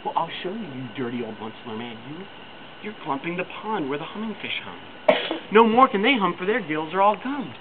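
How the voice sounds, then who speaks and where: A man voicing a small grumpy male forest creature who scolds and croaks with a cough. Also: high voice, very animated, a little fast, crowd noise. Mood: indignant. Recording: tinny phone-like line with loud noise.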